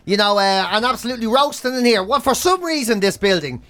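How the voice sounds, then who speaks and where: High, bright-voiced Dublin man ranting. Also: expressive, fast talker. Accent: Dublin Irish. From Dublin, Ireland. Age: middle-aged. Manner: sweary, exaggerated ranting. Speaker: podcast and radio show co-host. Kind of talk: casual comic banter.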